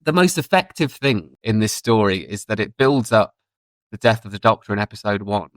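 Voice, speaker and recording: A man's voice: on the low side, lively intonation, moderate pace, no room sound. A man co-hosting a podcast in casual review chat, talking enthusiastically and thinking aloud as he goes. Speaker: podcast co-host.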